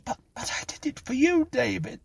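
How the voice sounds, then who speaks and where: A man voicing a frantic, pleading, guilt-haunted woman in a thin, breathy, tremulous falsetto for a storybook. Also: sing-song, a little slow, a bit bright, heavily acted. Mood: desperate pleading.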